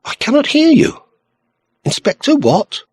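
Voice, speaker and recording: A man's voice: very high-pitched, very animated, unhurried, a little mellow, no room sound, pitched above the performer's own voice, heavily acted. A man voicing a reserved, polite woman on a telephone in an audiobook, speaking with restraint. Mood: polite, puzzled. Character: reserved, soft-spoken woman on a telephone, newly widowed.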